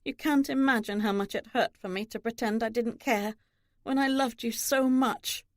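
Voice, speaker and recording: A woman's voice: on the high side, fairly even intonation, moderate pace, no room sound, clean studio sound, lightly acted. A woman voicing a loving, guarded older mother in an audiobook novel, speaking earnestly. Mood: earnest, regretful.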